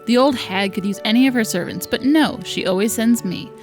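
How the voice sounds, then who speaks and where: A woman's voice: a little high, a little fast, soft background music, close to an everyday voice. A woman voicing a young servant stepdaughter, wry and resentful but patient, reading visual novel lines aloud. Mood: scornful.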